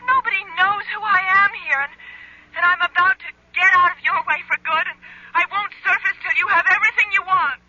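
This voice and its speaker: An actress playing a defiant, romantic twenty-five-year-old senator's daughter in a 1970s radio drama, sardonic and willful, heard through a telephone filter. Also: female voice, extremely high pitch, a little fast, background noise. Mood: defiant, hurt. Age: young adult. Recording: thin phone line on vintage radio recording.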